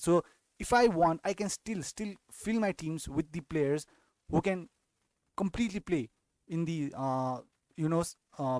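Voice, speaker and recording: A man's voice: a little high, a little mellow, dry recording. A young man from Nepal hosting a casual fantasy football live-stream Q&A, often restarting sentences and adding tag questions. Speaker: fantasy football channel host.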